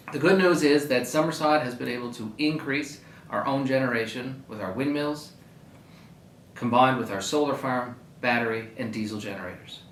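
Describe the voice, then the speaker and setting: A man reads a prepared civic update in a mid-pitched voice. He is measured and plain-spoken, pausing deliberately between phrases. The room echoes around him, with a faint rumbling noise underneath. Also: fairly bright tone. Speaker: mayor giving a public address. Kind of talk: prepared public service update.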